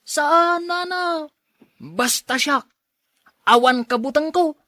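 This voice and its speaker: A woman's voice: high-pitched, slow, pushed and bright, no room sound, exaggerated voice. A woman playing a cocky, mischievous young shepherd boy in a Filipino audio drama. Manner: playful, boastful.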